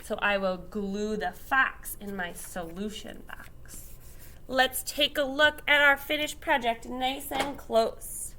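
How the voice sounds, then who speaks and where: Lady teacher walking small kids through steps, bright voice, takes it real slow, asks lots of guiding questions. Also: fairly high pitch. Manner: simple, deliberate phrasing with emphasized key words and guiding questions. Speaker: elementary school teacher. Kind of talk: step-by-step instruction for young children.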